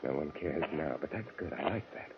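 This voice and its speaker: A man voicing an escaped poet turned killer in a 1950s radio drama, with feverish inner monologue swinging between panic and hushed whispers, on a noisy, muffled old radio broadcast. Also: extremely low pitch, expressive, a little fast. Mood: hushed menacing. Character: male poet escaped convict, unhinged killer. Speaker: lead actor in radio play.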